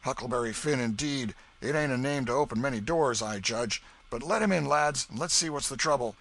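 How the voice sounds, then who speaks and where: A man voicing a hearty, kindly old farmer who talks loudly and bluffly. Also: mid-range voice, fairly quick, pitched above the performer's own voice. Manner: loud, hearty and commanding.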